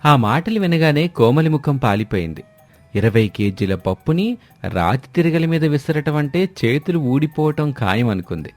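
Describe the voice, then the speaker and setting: A man's voice: medium pitch, expressive, normal speed. Male story narrator over music. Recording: music behind the voice.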